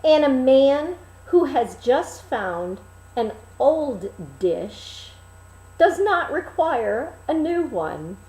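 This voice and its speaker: A woman reading a fairy tale as an expressive storyteller, in a theatrical, deliberate manner. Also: high-pitched. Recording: roomy, echoing room.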